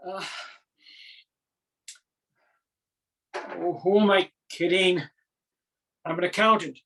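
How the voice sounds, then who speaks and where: A woman's voice: medium pitch, a bit bright, far from the mic, low-quality recording. A woman playing a flustered accountant stranded onstage as a Shakespearean actor, rambling nervously in a theatrical monologue. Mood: embarrassed, rambling. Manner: rambling, nervous, stammering asides.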